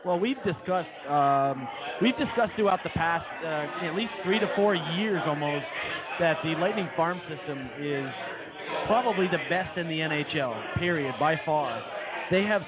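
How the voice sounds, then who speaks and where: A man's voice: fairly high pitch, lively intonation, moderate pace. A man co-hosting a live radio show, chatting casually about sports. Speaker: radio co-host. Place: brewery venue, live broadcast. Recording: thin phone-like sound with crowd chatter.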